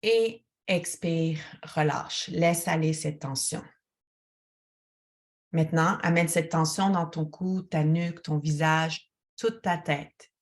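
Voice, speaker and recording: Female guided-meditation voice, lowish register, very unhurried delivery, calm and instructional. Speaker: yoga teacher guiding a relaxation. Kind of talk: guided meditation, addressing one listener.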